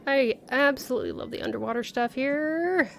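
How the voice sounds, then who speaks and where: A woman's voice: high voice, moderate pace, creaky, slight background noise. A woman giving casual livestream commentary on a video game, thinking aloud in a playful, exclaiming manner. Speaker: game streamer. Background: game music.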